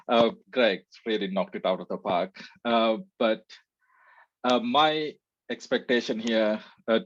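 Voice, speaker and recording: Sri lankan-born researcher giving a talk, lots of uhs, muffled dry call audio. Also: male voice, fairly low pitch, dry recording. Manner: frequent "uh" fillers, relaxed. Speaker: research scientist presenting. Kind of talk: conference presentation.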